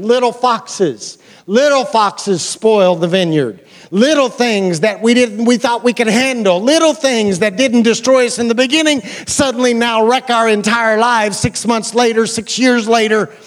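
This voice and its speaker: An American man preaching a sermon as a pastor, conversational and colloquial, repeating phrases for emphasis and putting questions to his listeners. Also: high-pitched.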